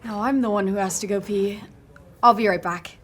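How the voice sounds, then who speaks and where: A woman's voice: mid-range voice, fairly even intonation, normal speed, lightly acted. An actress in an audio drama playing a shy, polite teenage girl hitchhiker, hesitant and self-effacing. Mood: awkward. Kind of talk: audio fiction drama acting.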